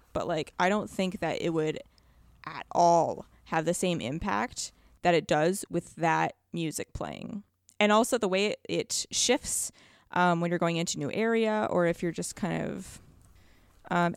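A woman's voice: fairly low pitch, darkish tone, no echo. A woman talking casually and emphatically about video games, with an enthusiastic conversational manner. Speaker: podcast co-host discussing video games. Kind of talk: casual chat, game review.

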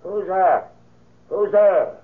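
A man's voice: high voice, drawn-out words, husky, full character voice. An actor playing a stubborn, scornful old man with a curt, defiant manner in an old-time radio drama. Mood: irritated, suspicious. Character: dreadful, stubborn old man, gloating and suspicious. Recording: tinny, lo-fi old radio broadcast.

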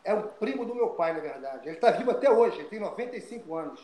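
An older man from Brazil talks as an interview guest in a voice pitched high for a man. He is animated, repeats words and restarts his sentences. The sound carries an echo from the room. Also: fast talker, a crowd in the background. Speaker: guest, retired fighter. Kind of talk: storytelling interview.

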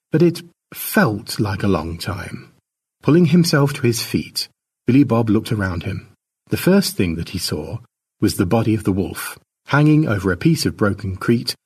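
A man narrating a scripted short story in a dramatic storytelling manner, with a husky voice. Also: lowish voice, darkish tone. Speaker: author narrating his own short story.

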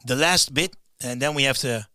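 A man with a raspy voice interviewing a guest, agreeable and hesitant in phrasing. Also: mid-range voice, moderate pace. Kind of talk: casual interview chat.

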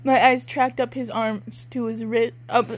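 A woman's voice: fairly high pitch, flat delivery, a little slow. A young woman reading amateur fiction aloud casually as co-host, breaking in with wry, giggly, sweary asides. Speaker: co-host and reader.

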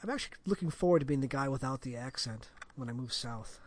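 A man with a natural rasp in his voice that sometimes lifts higher, and a lively, bouncing pitch. He talks in a dry, close sound, with stubborn, self-mocking jokes. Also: fast, no echo. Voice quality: slightly raspy with a higher edge. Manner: stubborn, self-deprecating joking. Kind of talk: casual chat.

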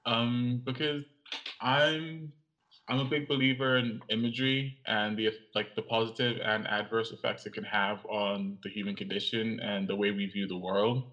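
A young man from Cameroon, a guest in an interview, speaking in a measured, reflective way with some pauses. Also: on the low side, fairly even intonation, fairly bright tone. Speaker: guest, audiovisual artist and writer. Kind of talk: interview conversation. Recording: lo-fi, slightly dull sound.

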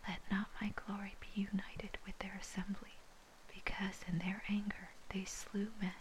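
A woman reading scripture in a soft, breathy, unvoiced whisper, ASMR style, with measured phrasing. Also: medium pitch, little pitch movement, slight background noise. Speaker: scripture reader.

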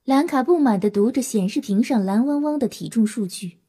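A woman narrates an audio drama from a script. Her voice is fairly high yet soft-toned and mellow, with a slightly husky grain. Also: expressive, brisk.

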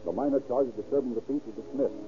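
A man's voice: mid-range voice, rapid-fire, background noise, natural voice. A man acting as the urgent, formal narrator of an old-time radio crime drama. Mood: grave, urgent. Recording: tinny old radio recording. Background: music.

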